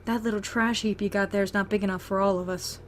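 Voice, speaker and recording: A woman's voice: mid-range voice, steady tone, fast, a little hiss or hum, natural voice. A woman playing a tough, protective young survivor in an amateur audio drama, curt and bossy. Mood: blunt.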